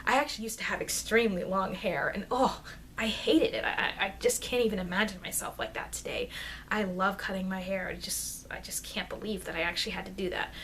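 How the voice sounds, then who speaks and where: A woman playing a chatty, informal immortal vampire teacher in an ASMR roleplay, rambling hesitantly and confidingly, with soft dips into whispering. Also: mid-range voice, fairly quick, lightly acted. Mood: chatty, earnest. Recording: noisy amateur microphone.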